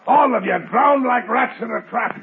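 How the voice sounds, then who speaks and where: Gravelly gloating villain. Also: male voice, very high voice, moderate pace, a crowd in the background. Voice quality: gravelly, sneering. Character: ruthless gloating male swindler, cruel villain. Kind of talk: 1930s radio drama acting. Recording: tinny, echoey old 1930s radio broadcast.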